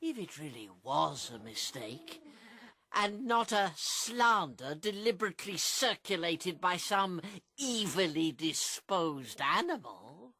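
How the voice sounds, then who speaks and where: A woman acting in a radio drama as a sly, smooth-talking pig, giving pompous and unctuously persuasive speeches. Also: fairly low pitch, sing-song, takes it slowly, sharp tone, no echo, heavily acted. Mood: insinuating.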